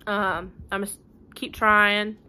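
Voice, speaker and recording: Bright-voiced young woman teacher. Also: mid-range voice, flat delivery, measured pace, clean tone. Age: young adult. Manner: folksy, plain-spoken, rhetorical questions. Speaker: schoolteacher. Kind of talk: informal talk to young pupils and parents. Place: living room at home.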